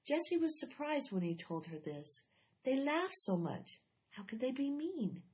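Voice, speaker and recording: A woman's voice: high-pitched, unhurried, highs cut off. Woman reading a children's book aloud. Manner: expressive, animated storytelling. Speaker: storyteller.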